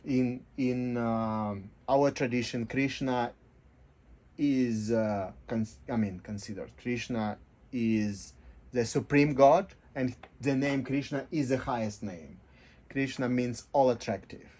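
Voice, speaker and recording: A man speaking as a guest in a radio interview, explaining in short, halting, often repeated phrases. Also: mid-range voice, darkish tone. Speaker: interview guest, life coach and kirtan teacher. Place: radio studio.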